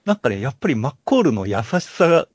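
A man's voice: medium pitch, big pitch swings, quick pace, darkish tone, no room sound, clean studio sound. A man hosting a podcast, chatting about films in a polite, conversational way. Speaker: podcast host. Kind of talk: casual film chat.

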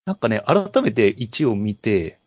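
A man co-hosting a podcast, talking casually about films and pausing to search for words. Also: on the low side, sing-song, no room sound, studio quality. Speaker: podcast co-host. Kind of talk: casual film discussion.

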